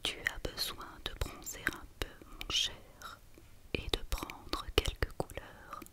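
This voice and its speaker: A cheeky, kind little girl from a storybook as whispered by a woman. She is sure of herself and gently teasing, speaking slowly in a bright, crisp whisper. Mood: friendly teasing. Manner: teasing, matter-of-fact.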